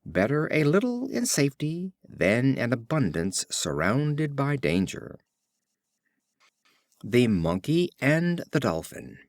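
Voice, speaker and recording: A man reads storybook fables in an unhurried, measured way. His pitch moves up and down in lively fashion, and the recording is dry and close. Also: no room sound. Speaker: audiobook narrator. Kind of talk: scripted storybook narration of fables.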